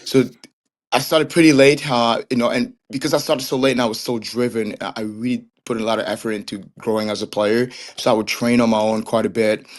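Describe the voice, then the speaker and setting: A man's voice: medium pitch, little pitch movement, a little fast. A young man from Cameroon, a soccer trainer, telling his story as an interview guest in an earnest way, often restarting with repeated words. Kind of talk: casual interview chat.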